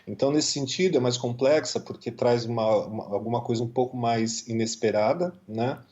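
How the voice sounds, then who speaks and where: A Brazilian man with a Brazilian Portuguese accent talks casually about music, working through his points with fillers and repeated words. His voice is dark and mellow. The recording sounds slightly muffled and lo-fi. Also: fairly quick. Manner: thoughtful, many fillers and repetitions, lively pitch swings. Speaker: guest musician. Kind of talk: casual conversational interview.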